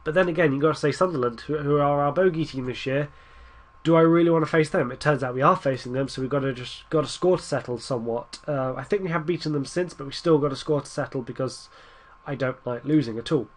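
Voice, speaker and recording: Young man, stuffy cold-blocked nose, slightly high voice, rambling casual game commentary. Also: quick pace. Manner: rambling, restarts, urges his team on. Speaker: gaming YouTuber.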